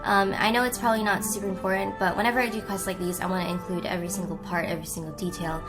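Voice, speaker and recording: Chatty young woman over loud music. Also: fairly low pitch, level tone, very fast. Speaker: gaming video host. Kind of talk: casual, chatty gameplay commentary. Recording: loud game music behind the voice.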